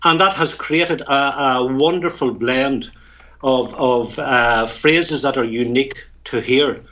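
Northern Irish man, Tyrone accent, wry storyteller guest, bright lively voice, dull remote line. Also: medium pitch, takes it slowly. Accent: Northern Irish, County Tyrone. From Northern Ireland. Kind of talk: casual interview over a phone line.

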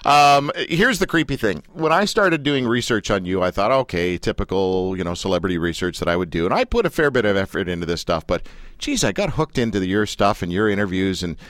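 A male radio host talks in a lively, jokey, self-deprecating way. His voice is lowish with a bouncy, animated pitch. The sound is close and dry. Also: fairly quick, no echo. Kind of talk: casual radio interview.

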